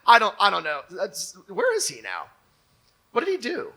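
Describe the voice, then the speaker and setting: High-pitched, bright-voiced man joking around. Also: wildly swooping pitch, moderate pace. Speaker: co-host of a local comedy show. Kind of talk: comedic unscripted talk before a live audience.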